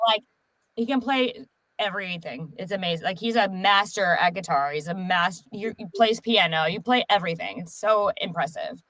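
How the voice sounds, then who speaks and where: A woman's voice: medium pitch, fairly bright tone, no room sound, low-quality recording. A young woman, a singer guest on a live streamed interview, talking in a bubbly, enthusiastic way. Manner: bubbly, enthusiastic, quick to laugh. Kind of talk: casual interview chat. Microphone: remote streaming call mic.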